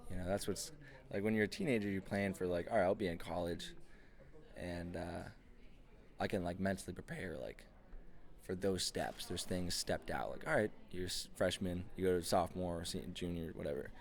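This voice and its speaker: A man in his early twenties talks in a meandering, offhand way, dropping casual swears as he goes. His voice sits very low and relaxed. He is recording his podcast while sitting in an airport. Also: vocal fry. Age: 20s. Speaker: podcast host. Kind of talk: casual rambling chat.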